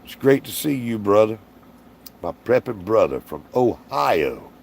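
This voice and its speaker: Deep, slow man hosting a livestream. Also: big pitch swings, vocal fry, a little hiss or hum. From Alabama, US. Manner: folksy, rambling, reads out viewer comments. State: tired, strained voice. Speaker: livestream host. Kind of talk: casual livestream Q&A chat.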